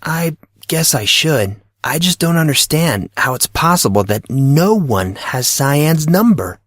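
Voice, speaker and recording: A man voicing an earnest, naive and stubborn teenage schoolboy who protests in an incredulous, halting way, in an audiobook story. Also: a little high, big pitch swings, dry recording, clean studio sound, pitched above the performer's own voice. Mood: exasperated, baffled.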